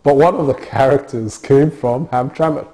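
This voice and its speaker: Guy, middle-aged, gravelly low mellow voice, lots of ums and restarts. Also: moderate pace, slight background noise. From Nigeria. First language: Yoruba. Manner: thoughtful, hesitant, many fillers and restarts. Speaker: interviewee. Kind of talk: oral history interview.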